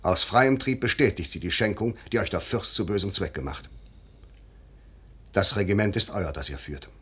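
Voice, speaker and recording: An actor playing a shrewd, commanding, noble general in a 1950s German radio drama, speaking in measured, deliberate declamation. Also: male voice, sits low, fairly bright tone, husky, heavily acted. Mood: persuasive.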